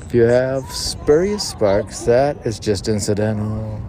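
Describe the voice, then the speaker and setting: A man reading his own poems aloud outdoors, line by line in a halting way, with casual asides. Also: lowish voice, warm tone. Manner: halting, spells out words, reads aphorisms line by line. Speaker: poet reading own work. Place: outdoors on a city museum lawn. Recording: outdoor recording with clear urban noise.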